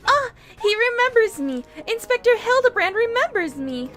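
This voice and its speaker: Woman doing a very high-pitched, excitable devoted assistant. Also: normal speed, soft background music, full character voice. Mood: elated. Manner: gushing, eager, earnest. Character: excitable, devoted young female assistant, cheerfully violent.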